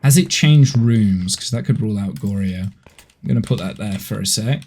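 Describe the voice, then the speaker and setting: A man's voice: lowish voice, moderate pace, darkish tone, slightly rough. Congested man chatting over a game. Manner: chatty, rambling, restarting his sentences. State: congested, with a head cold. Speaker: streamer. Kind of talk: casual gameplay chat on a live stream. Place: at home.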